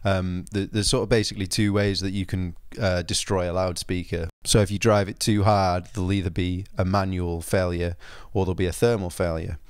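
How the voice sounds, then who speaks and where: A man giving an informal spoken product review, explaining things in a measured, understated way. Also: low-pitched, flat delivery, dry recording, studio quality.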